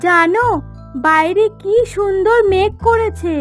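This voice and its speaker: A woman acting as a very high-voiced young village girl in a cartoon fable. She is a caring, earnest daughter who tends to fret, with music playing behind her. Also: a little slow, a bit bright. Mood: urging, eager. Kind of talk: Bengali cartoon moral story voice acting.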